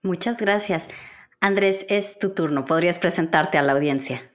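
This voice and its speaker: Female podcast host, measured delivery. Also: lowish voice. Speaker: podcast host interviewing book authors. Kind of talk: podcast interview, prepared introduction and questions.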